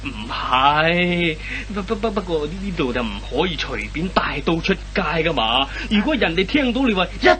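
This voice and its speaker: Bumbling boyfriend, wildly swooping bright voice. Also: male voice, a little high, very animated, normal speed, hardly any pauses. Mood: flustered pleading. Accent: Hong Kong Cantonese. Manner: stammering, flustered, exclaiming. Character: bumbling dim-witted hapless boyfriend, pleading and flustered. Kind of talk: comic radio play acting. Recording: old radio recording with faint noise and hum.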